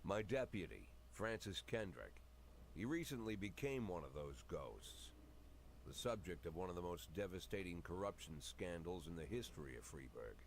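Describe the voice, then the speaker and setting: A low-voiced, weary police chief in middle age, narrates a noir video game. He is cynical and brooding, with wide swings in intonation over faint background noise. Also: very animated, normal speed.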